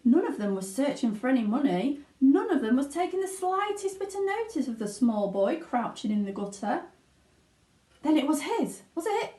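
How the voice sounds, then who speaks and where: In a roomy, echoing recording, a woman narrates a children's story expressively. Her voice sits fairly high and has a soft, mellow tone. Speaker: teaching assistant reading aloud. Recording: echoey, roomy sound.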